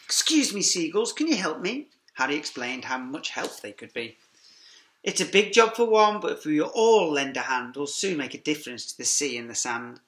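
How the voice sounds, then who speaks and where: Bright-voiced man reading a picture book. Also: a little high. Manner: lively sing-song reading, quiet asides to children. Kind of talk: expressive read-aloud for young children. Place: classroom. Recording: echoey classroom.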